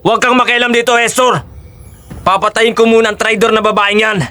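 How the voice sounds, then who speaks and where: A man's voice: sits high, level tone, brisk, pushed and bright, clean tone, faint music behind. A man playing a treacherous, vengeful killer on the run in an audio drama, agitated and bitter. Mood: defiant, bitter.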